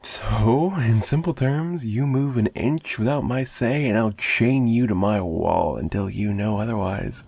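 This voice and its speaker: A man's voice: lowish voice, unhurried, vocal fry, few pauses, darkish tone, slightly rough, no echo, full character voice. A man playing a possessive, menacing mafia boss in an ASMR roleplay, taunting the listener with theatrical mock sweetness and threats. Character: possessive, menacing young male mafia boss, obsessive kidnapper. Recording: noticeable background noise.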